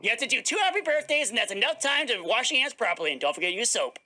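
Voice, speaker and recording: Casting: woman as flustered, bossy robot fox, high bright swooping voice, game roleplay. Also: big pitch swings, rapid-fire. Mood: upbeat. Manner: flustered, dramatic, stammering denials. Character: excitable, bossy animatronic fox character. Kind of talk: improvised Minecraft roleplay.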